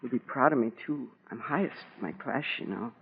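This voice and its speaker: An actor playing a wistful, proud military school boy in an earnest, halting monologue to his mother, in 1940s radio drama style. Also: mid-range voice, animated, creaky. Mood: brave, proud. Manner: plain boyish colloquial talk, earnest and halting. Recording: scratchy, narrow-band 1940s radio recording.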